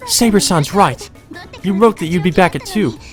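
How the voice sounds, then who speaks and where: A man's voice: high-pitched, animated, normal speed, soft background music, pitched above the performer's own voice. A man voicing a stern young woman who fusses and scolds, in a visual novel. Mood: scolding, worried. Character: stern, scolding young women fussing over a housemate.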